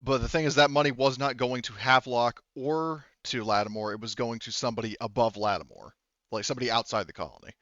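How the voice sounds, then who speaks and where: A man running a tabletop game as game master, explaining details casually and haltingly, often rephrasing as he goes. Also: medium pitch, fairly bright tone, dry recording, lo-fi sound.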